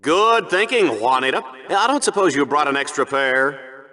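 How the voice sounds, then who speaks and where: Hyped up smarmy sci-fi sports announcer, real bright and forceful, voice jumping all over. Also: male voice, a little high, lively intonation, moderate pace. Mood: smarmy, casual. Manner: bombastic, showy announcer delivery. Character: flamboyant, smarmy, hyped-up sci-fi bloodsport show host. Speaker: game show commentator. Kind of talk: over-the-top sports commentary.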